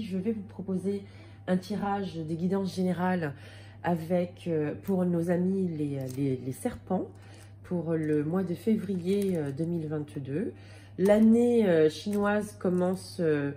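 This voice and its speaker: Lady with a bit of a cold doing a tarot reading, lowish mellow voice, lots of ums. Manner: hesitant, many fillers and restarts. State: has a slight cold. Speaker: tarot card reader. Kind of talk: casual tarot reading for viewers.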